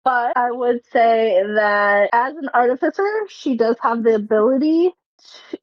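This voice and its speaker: A woman with a fairly high voice talks casually through a roleplaying game. The recording is dull and very dry, with little room sound. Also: unhurried, no echo. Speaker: player in a tabletop roleplaying game. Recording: dull, dry, rough online-session audio.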